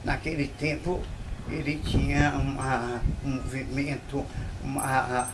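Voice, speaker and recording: An older man from Bahia, telling local history in an interview at an old mansion, unhurried and full of tag questions. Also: mid-range voice, little pitch movement, noisy background. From Bahia, Brazil. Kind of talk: reminiscing interview.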